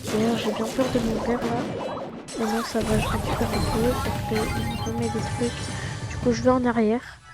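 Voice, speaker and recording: A woman's voice: fairly high pitch, flat delivery, moderate pace. A woman with a slight cold giving a casual, hesitant voice-over of her gameplay, full of fillers and restarts. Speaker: gaming channel host. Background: loud game music.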